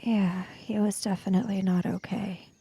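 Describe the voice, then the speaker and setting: A woman's voice: medium pitch, fairly even intonation, moderate pace, creaky, warm tone, slight background noise. A woman chatting casually and improvising dialogue as a player in a tabletop roleplaying game.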